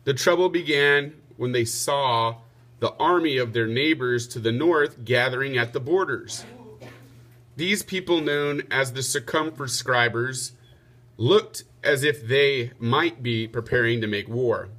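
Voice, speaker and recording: A man's voice: medium pitch, a little slow, a bit bright. A man narrating a children's storybook aloud, like a teacher reading to pupils.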